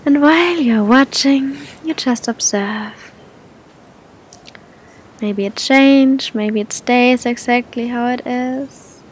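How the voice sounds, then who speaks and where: A woman guiding a meditation in a gentle, soothing manner, speaking to the listener with long, unhurried pauses. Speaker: meditation guide. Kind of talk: guided meditation, spoken softly to the listener. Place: outdoors on a beach. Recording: outdoor recording with low rumbling noise.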